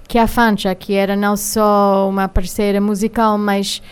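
A woman with an American accent, a singer speaking haltingly as a radio studio guest, pausing often with filler sounds. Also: mid-range voice, level tone, clear, resonant tone. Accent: American English. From United States. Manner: hesitant, many filler sounds while finding words. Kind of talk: radio interview.

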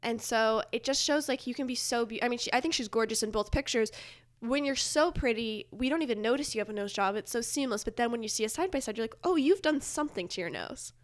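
A woman's voice: a little high, expressive, fast talker. A chatty, opinionated woman talking casually and comedically about pop culture as a podcast guest, with emphatic delivery.

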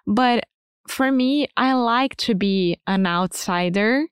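A woman's voice: fairly high pitch, slow pace, clear, resonant tone, no echo, clean studio sound. A woman from Rio de Janeiro with a Brazilian Portuguese accent, a native Portuguese speaker co-hosting a podcast in an emphatic, upbeat way. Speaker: podcast co-host. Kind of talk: casual educational podcast chat.

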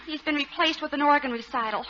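Actress as high-voiced, fretful receptionist. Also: female voice, quick pace, close to an everyday voice. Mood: glum. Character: Gloria, fretful female radio station receptionist. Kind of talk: 1940s radio comedy acting. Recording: dull, very noisy old radio recording.

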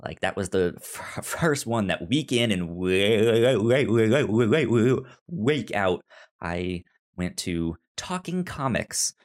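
A man's voice: lowish voice, animated, slow. A man hosting a podcast in casual conversation, often stammering and repeating syllables and words. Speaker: podcast host.

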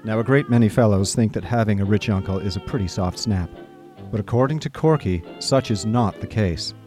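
A man playing a chatty, idle young gentleman who narrates a comic radio drama in breezy, old-fashioned upper-class phrasing. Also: lowish voice, fairly quick, faint music behind, close to an everyday voice. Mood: amused explaining.

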